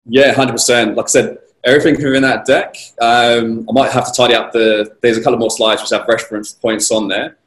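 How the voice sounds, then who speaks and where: A man with a slightly croaky voice answering questions as a guest speaker on a video call, measured and conversational. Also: mid-range voice, steady tone. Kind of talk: conversational conference Q&A. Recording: roomy echo on a video call.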